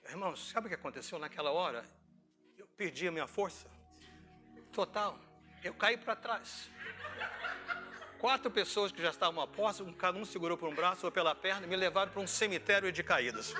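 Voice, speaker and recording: A man's voice: high-pitched, fairly quick, music in the background. A middle-aged Brazilian man preaching informally as a pastor, telling stories conversationally with rhetorical questions. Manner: conversational storytelling, acting out dialogue, asking rhetorical questions. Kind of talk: informal sermon with personal anecdotes.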